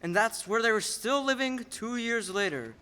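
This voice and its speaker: Casting: explanatory radio-play storyteller young male actor, high-pitched and bright. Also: lively intonation, normal speed, smooth, heavily acted. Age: young adult. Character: storyteller recounting a man's life to a companion. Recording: echoey hall.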